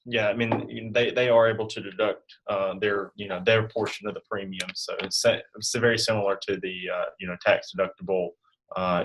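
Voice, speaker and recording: Man, interview guest, lowish voice, flat delivery, informal explainer, halting phrasing. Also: rough recording. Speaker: guest health insurance specialist.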